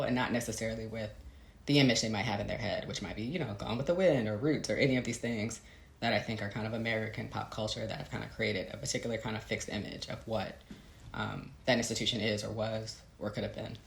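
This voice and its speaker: Female interviewee, author type. Crackly vocal fry, thoughtful and searching delivery, reverberant room sound. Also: extremely low pitch. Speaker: interviewed novelist. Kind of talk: reflective conversational interview. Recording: roomy, echoey sound.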